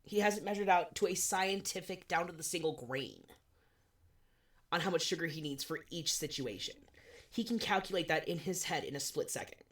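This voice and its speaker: A woman in her twenties co-hosting a podcast, chatting casually with animated, swearing asides. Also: lowish voice, normal speed. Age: 20s. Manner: animated, swearing, self-deprecating. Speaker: podcast co-host. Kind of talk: casual chat.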